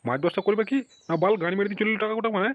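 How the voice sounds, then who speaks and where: A man playing a gruff, excitable middle-aged village father in a comic cartoon, exclaiming in slangy speech. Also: sits high, racing, lightly acted. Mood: outraged. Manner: exclaiming, slangy, tag questions. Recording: dull lo-fi audio with some noise.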